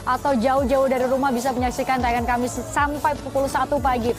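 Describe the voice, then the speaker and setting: A woman's voice: sits high, little pitch movement, brisk. A woman co-hosting a live television broadcast in a chatty way, commenting on celebrations. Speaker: television presenter. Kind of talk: live broadcast commentary and co-host chat on New Year celebrations. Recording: loud music behind the voice. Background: loud music.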